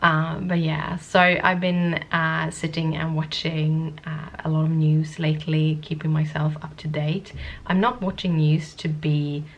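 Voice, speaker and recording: A woman vlogger with a sniffly cold, chatting to the camera in a soft-spoken, rambling, hesitant way. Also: deep voice, level tone, unhurried, muffled recording. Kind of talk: casual talking-to-camera vlog.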